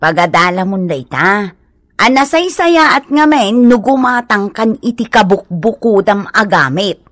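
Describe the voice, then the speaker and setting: A woman's voice: mid-range voice, animated, a little slow, fairly bright tone, no room sound, clean studio sound. An actress playing a sensible wife who chides and advises her husband in a radio drama, with an Ilocano accent. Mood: chiding, reasonable.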